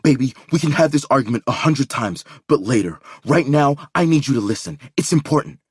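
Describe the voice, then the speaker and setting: A man voicing a desperate man who pleads and shouts in panic, urgent and strained. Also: medium pitch, animated, brisk, breathy edge, dry recording, clean studio sound, pitched above the performer's own voice. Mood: panicked.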